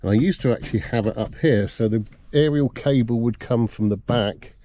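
A man's voice: medium pitch, normal speed, dark tone, dry recording, muffled recording. A middle-aged man giving a casual, plain-spoken how-to demonstration. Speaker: presenter of a car DIY video. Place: outdoors in a yard and inside a parked car.

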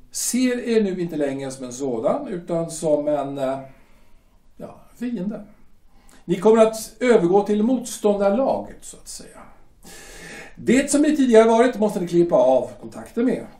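A man's voice: high voice, dark tone. An actor playing a stern male shipyard director who lectures formally, with hesitant fillers, in an audio drama. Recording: roomy echo, distant from mic.

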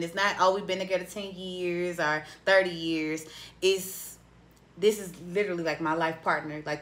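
A woman in her late twenties hosting a casual podcast interview, chatty and expressive, playfully teasing her guest, recorded on a studio mic in a small room. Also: fairly low pitch. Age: 20s. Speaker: podcast host. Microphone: studio mic on boom arm.

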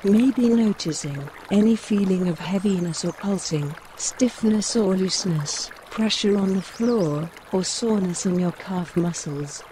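A woman gently guiding a mindfulness meditation in measured short phrases, with pauses between them. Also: mid-range voice, a little hiss or hum. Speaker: meditation guide. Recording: clear running stream water behind the voice. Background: trickling woodland stream.

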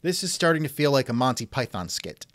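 A man's voice: mid-range voice, very animated, a little fast, fairly bright tone, dry recording. A man hosting a conversational interview, asking questions in a wry, skeptical manner. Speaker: interviewer, host. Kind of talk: reenacted text-chat interview, conversational.

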